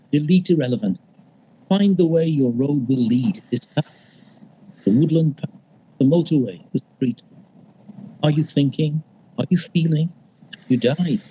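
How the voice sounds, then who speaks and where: A man with a Liverpool accent reads his poem aloud over a video call, pausing often as he talks. His voice is mellow and dark. The audio is dull and lo-fi, with faint hiss behind it. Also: on the high side. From Liverpool, England. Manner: reflective, unhurried storytelling with pauses. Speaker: poet. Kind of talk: reading his poem at an online gathering.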